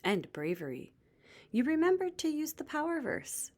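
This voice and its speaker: A woman's voice: sits high, sing-song, moderate pace, a little mellow, voice pushed up. A woman voicing a kind male mentor in a children's storybook, teaching in an encouraging, instructive way. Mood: earnest.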